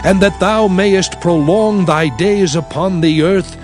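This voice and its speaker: An actor playing Moses, a solemn prophet and leader, in grave, emphatic scripture oratory for an audio drama. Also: male voice, sits high, darkish tone. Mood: fervent exhortation. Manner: grave, emphatic, measured declaiming. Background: music.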